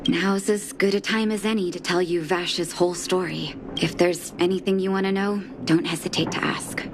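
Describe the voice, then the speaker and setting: An actress voicing a composed, weary woman doctor in a video game cutscene, speaking in a measured, earnest, formal way. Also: lowish voice, steady tone, noisy background, close to an everyday voice. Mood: calm, apologetic.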